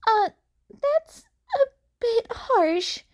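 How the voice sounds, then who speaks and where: Teenage boy hero, acted by a female reader: high, animated, nervous and kind-hearted, hesitant audio story delivery. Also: drawn-out words, exaggerated voice. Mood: awkward, hesitant. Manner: hesitant, earnest.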